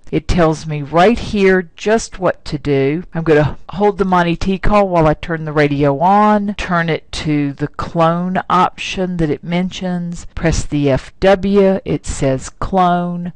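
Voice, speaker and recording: A tutorial narrator with a smooth, clean voice and a dry, close sound. The delivery is instructional and plain-spoken, walking a learner through one step after another. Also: mid-range voice, a little mellow, no room sound, poor audio.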